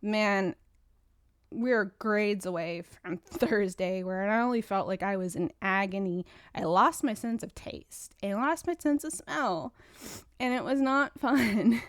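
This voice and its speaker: A woman's voice: mid-range voice, unhurried, no room sound, clean studio sound. A woman hosting her own podcast in casual, rambling chat, sounding stuffy and congested. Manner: rambling, chatty, frequent restarts. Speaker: podcast host.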